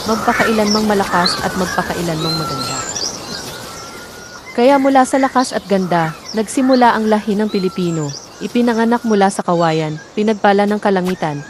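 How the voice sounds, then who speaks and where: A woman's voice: medium pitch, background noise. A woman storyteller reading a scripted folktale narration.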